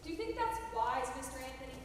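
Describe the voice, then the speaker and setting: A blunt, doubting housekeeper with no patience for ghost talk, played by an actress, high-voiced. Heard from far back in an echoing hall with clear hiss. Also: female voice, very animated, quick pace. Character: no-nonsense housekeeper. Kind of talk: amateur stage acting. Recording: echoey hall from far off, noisy.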